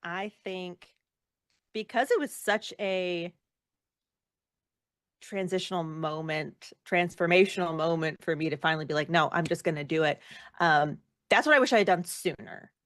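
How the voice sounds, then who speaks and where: A woman with a fairly low voice, recorded close and dry, speaks as an interview guest. She is candid and reflective, working out her thoughts aloud and often rephrasing as she goes. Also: moderate pace, a bit bright, no room sound. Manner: candid, thinks aloud with many self-corrections. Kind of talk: casual reflective interview answers.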